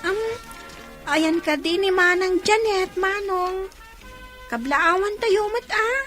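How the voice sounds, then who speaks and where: An actress playing a cheerful teenage younger sister who giggles often. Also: female voice, very high voice, takes it slowly, a bit bright, faint music behind, full character voice. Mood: bubbly.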